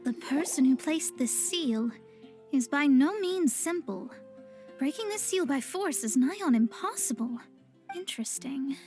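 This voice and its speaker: An actress playing a proud, earnest young astrologist in a fantasy game, speaking in a formal, self-assured way. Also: female voice, high voice, darkish tone. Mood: intrigued, confident.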